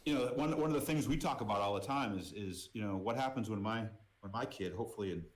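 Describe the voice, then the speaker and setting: A man's voice: on the low side, fast talker. A middle-aged man from Boston with an American accent, talking casually as an interview guest, repeating words and often saying "you know". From Boston, United States. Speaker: podcast guest, merchandise business executive.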